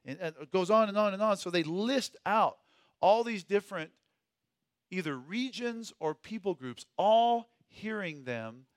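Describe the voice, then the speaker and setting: Male teacher, fairly high voice, informal and emphatic, question-led delivery, very dry recording. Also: no room sound. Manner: conversational, asks questions, emphatic key words. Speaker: Bible teacher leading a group study.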